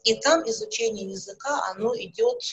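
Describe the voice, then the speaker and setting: A middle-aged woman, a speech therapist, calmly explaining things with everyday examples in an interview. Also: fairly high pitch, fairly quick, breathy edge, rough recording. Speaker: speech therapist and psychologist guest.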